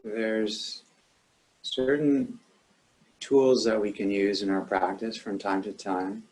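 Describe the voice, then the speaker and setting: Male meditation teacher, lower-pitched voice, calm and measured, leaving long pauses between instructions. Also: rough recording.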